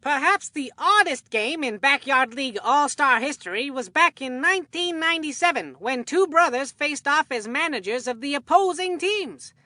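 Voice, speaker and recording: A woman voicing an excitable, food-loving boy baseball commentator in a cartoon game, with a folksy, earnest showman delivery. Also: high voice, normal speed, hardly any pauses, no echo.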